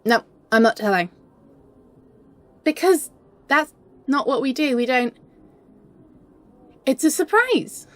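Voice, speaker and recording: A flustered young-at-heart romantic heroine, acted by a woman in an intimate audio roleplay. Her voice sits fairly high and moves very slowly, with stammers and halting restarts. She sounds smitten and playfully scheming. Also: animated, a bit bright, full character voice. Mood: giddy, tender.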